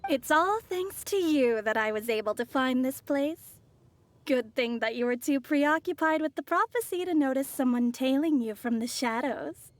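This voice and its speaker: An actress voicing a poised, mysterious woman from abroad in a video game, speaking in a smooth and teasing way. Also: sits high, expressive, moderate pace, no echo. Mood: sly.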